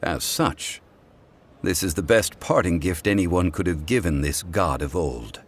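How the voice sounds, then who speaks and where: Ancient god for a fantasy game: deep, composed, measured, stately diction. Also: male voice, animated, slightly rough, heavily acted. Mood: serene, wistful. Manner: formal, measured, eloquent. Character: ancient dignified god in mortal man's form, calm. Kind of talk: video game voice acting.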